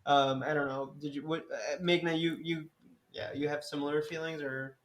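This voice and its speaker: A man's voice: fairly high pitch, steady tone, moderate pace. A man hosting a casual film podcast, talking with many false starts and restarts. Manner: hesitant, many restarts, laughs easily. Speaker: podcast host.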